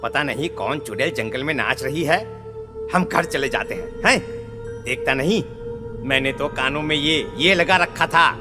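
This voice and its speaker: A man playing a jumpy, timid village farmer in a horror audio story, excitable and exclaiming. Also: medium pitch, moderate pace, natural voice. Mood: startled, scared. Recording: long reverb, faint music.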